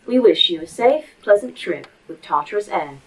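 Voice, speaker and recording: An actress playing a polite, pedantic A.I. that speaks formally and precisely in a sci-fi audio drama. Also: female voice, mid-range voice, expressive, normal speed, very noisy, natural voice. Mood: chirpy, pedantic. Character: polite, pedantic female A.I. running a facility. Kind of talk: audio fiction acting.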